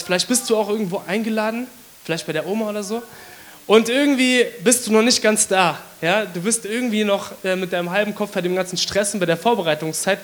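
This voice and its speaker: A man with a hoarse voice from a cold, giving a casual, chatty sermon to his congregation with many fillers. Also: high voice. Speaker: preacher.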